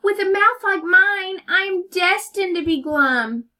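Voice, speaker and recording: A woman's voice: very high-pitched, lively intonation, bright, forceful tone, pitched above the performer's own voice, exaggerated voice. A woman voicing a glum, pouting male fish in a storybook, with a mournful, rhyming way of talking. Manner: mournful, rhyming, drawn-out blubbing refrain. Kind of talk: storybook read-aloud character voice.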